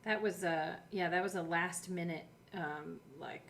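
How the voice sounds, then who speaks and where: A woman's voice: on the low side, fairly even intonation, a bit bright, slight background noise. Chatty woman hosting a podcast. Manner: chatty, quick to laugh. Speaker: podcast host reading episode summaries and asking questions. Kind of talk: casual chat with read-out summaries.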